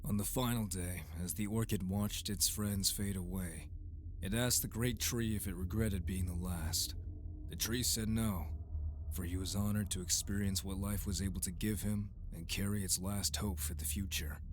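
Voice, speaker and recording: A man voicing a calm, grave rebel in an audio drama, speaking in a measured way. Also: low-pitched, lightly acted. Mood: solemn. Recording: steady background hiss.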